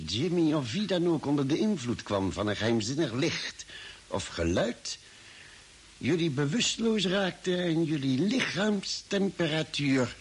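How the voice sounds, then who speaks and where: An actor playing a calm, reasoning spaceship doctor in a science-fiction radio drama, speaking deliberately and probingly. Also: male voice, a little high. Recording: hissy old radio drama recording.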